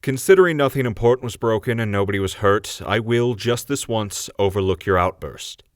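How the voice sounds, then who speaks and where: A man's voice: on the low side, fairly quick, dry recording, studio quality, lightly acted. A man voicing a stern town judge who speaks formally and scolds the defendants.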